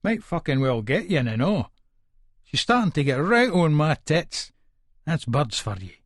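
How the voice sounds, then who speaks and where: A man's voice: a little high, big pitch swings, moderate pace, no echo, studio quality. An actor playing a short-tempered, foul-mouthed Scottish hard man, narrating aggressively and colloquially in an Edinburgh accent for an audiobook. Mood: irritable, scornful. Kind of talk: first-person audiobook narration, dramatic.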